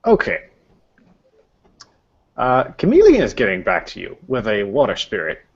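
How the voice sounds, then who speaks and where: A man running a tabletop game as game master, improvising narration haltingly as he searches for words. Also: lowish voice, huge pitch swings, gravelly, husky. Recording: dull, lo-fi voice recording.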